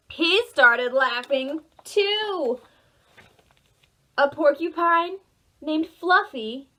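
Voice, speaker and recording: A woman children's librarian doing an animated read-aloud for preschoolers, expressive, with dramatic pauses and sing-song emphasis. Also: a little high. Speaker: children's librarian, storytime host.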